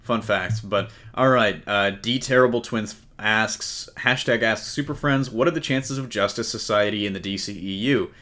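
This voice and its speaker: A man's voice: on the low side, moderate pace, hardly any pauses, pushed and bright. A man hosting a casual panel chat about comics, with a wry, joking way of talking. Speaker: podcast host.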